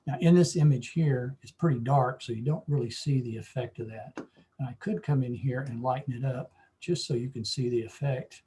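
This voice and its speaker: A hoarse man teaching a photo-editing lesson, explaining at an easy pace with lots of fillers. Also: mid-range voice, fairly quick. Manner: relaxed, explanatory, with frequent fillers. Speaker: photography club instructor. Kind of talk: screen-share software tutorial.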